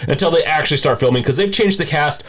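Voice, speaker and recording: A man's voice: fairly high pitch, fast talker, a bit bright. A man co-hosting a podcast, giving his opinions earnestly in casual discussion. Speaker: podcast co-host.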